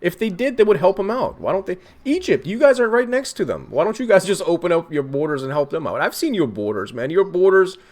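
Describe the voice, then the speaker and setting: A man's voice: high-pitched, fairly quick, hardly any pauses. A man from Iran hosting casual online chats, talking bluntly with lots of swearing. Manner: blunt, profane, animated. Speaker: host of online video chats. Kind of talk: casual debate chat.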